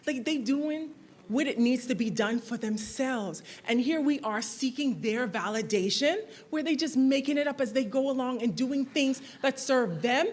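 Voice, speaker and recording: A woman's voice: high voice, normal speed. Woman, teacher on a panel, emphatic, thinking aloud. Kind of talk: panel presentation and discussion. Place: university lecture hall.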